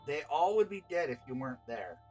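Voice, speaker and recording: A man's voice: a little high, big pitch swings, fairly quick, a little mellow, faint music behind. A man voicing a brusque, exasperated female guild leader in a story, curt and commanding. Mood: stern.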